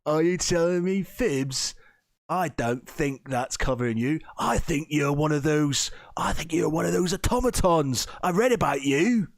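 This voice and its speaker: A man's voice: on the high side, a little slow, no echo, clean studio sound, pitched above the performer's own voice. A man voicing a nosy, suspicious townsman in a tabletop game, blunt and accusing, repeating himself. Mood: suspicious, prying. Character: suspicious, nosy male townsman challenging strangers.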